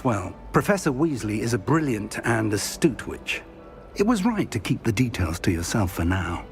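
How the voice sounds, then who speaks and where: A man's voice: medium pitch, creaky, darkish tone. An actor playing an older male professor in a video game, a formal, kindly mentor with a British accent. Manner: measured, formal, kindly instructive.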